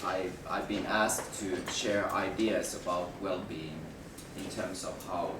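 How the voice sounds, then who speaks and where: A man with a Finnish accent, hoarse from a flu, giving a presentation to an audience and restarting his words as he goes. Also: low voice, steady tone. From Finland. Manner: halting, restarts words, then rises in emphasis. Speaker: management consultant presenter. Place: room with an audience. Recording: roomy, distant mic with background noise.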